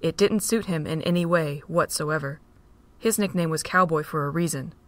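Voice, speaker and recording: A woman narrating a fan-made audio drama in a steady, plain reading voice. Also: deep voice, moderate pace.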